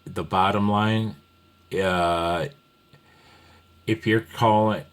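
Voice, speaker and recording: A man's voice: on the low side, fairly even intonation, extremely slow, clean tone. A man giving an informal, rambling tutorial as a hobbyist instructor, folksy and apologetic as he thinks aloud. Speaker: amateur radio hobbyist teaching Morse code.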